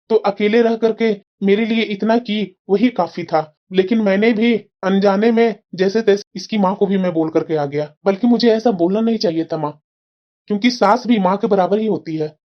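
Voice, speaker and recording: A woman's voice: medium pitch, moderate pace, lo-fi sound, natural voice. A woman voicing an earnest, repentant young husband and son in a cartoon family drama. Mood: regretful. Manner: earnest, confessional.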